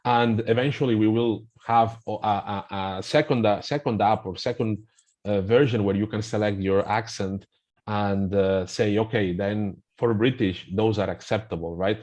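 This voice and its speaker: A man's voice: lowish voice, fairly even intonation, normal speed, a little mellow, lo-fi sound. A middle-aged man with a Spanish accent, explaining things in a hesitant conversational way, full of fillers and restarts. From Spain. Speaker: language app representative, guest.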